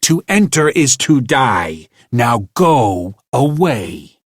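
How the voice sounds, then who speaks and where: Stern forbidding father, voiced by a man, raised and loud, breathy. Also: medium pitch, sing-song, takes it slowly, dry recording, studio quality, pitched above the performer's own voice, heavily acted.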